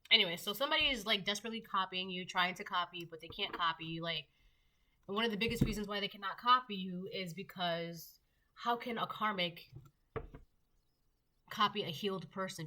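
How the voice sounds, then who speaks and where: A woman's voice: fairly low pitch, pushed and bright, a little hiss or hum. A woman with a stuffy nose giving a casual tarot reading. She rambles loosely, with many fillers and restarts. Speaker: tarot reader.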